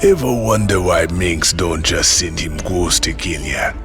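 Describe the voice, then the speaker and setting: Ominous, watchful game warrior: measured, unhurried, cavernously low pitch. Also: male voice, over music. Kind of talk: video game cutscene acting.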